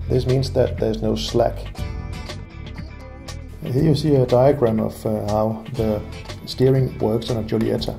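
A man calmly explaining his classic car steering repair step by step, pausing with frequent "uh"s. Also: mid-range voice, vocal fry. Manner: calm, methodical, frequent "uh" pauses. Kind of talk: narrated garage repair vlog.

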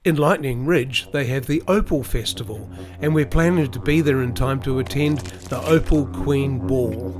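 A middle-aged man giving a calm, explanatory voice-over about planning a trip. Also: mid-range voice. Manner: measured, explanatory. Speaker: vlogger. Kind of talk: scripted voice-over narration.

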